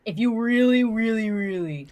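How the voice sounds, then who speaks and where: A woman's voice: fairly high pitch, animated, normal speed, a crowd in the background. A woman co-hosting a livestream in casual banter, blunt, sweary and emphatic. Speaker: livestream co-host.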